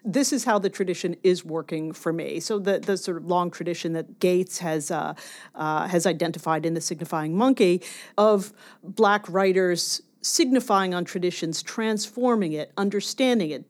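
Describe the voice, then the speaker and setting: A woman's voice: fairly low pitch, normal speed. A woman scholar being interviewed, explaining ideas in an academic but conversational and emphatic way.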